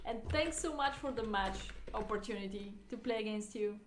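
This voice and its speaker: A woman's voice: medium pitch, normal speed. A woman streaming chess and thinking aloud casually in short, simple phrases with non-native English wording. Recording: roomy, noisy mic with keyboard clicks.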